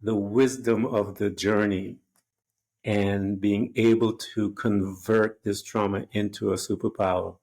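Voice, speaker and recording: A man's voice: fairly low pitch, level tone, takes it slowly, a little mellow. A man hosting an interview, asking questions and reflecting in an earnest, rambling way. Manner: earnest, rambling, fond of "if you will". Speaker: podcast host.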